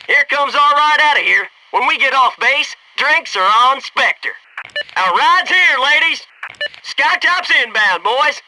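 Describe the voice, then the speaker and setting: Bright, high-voiced cocky Navy SEAL. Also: male voice, distant mic, slight background noise, heavily acted, rough recording. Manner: brash, jokey, sarcastic banter and crisp tactical calls. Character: cocky, wisecracking young male Navy SEAL squadmate. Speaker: video game voice actor. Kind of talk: military shooter game dialogue.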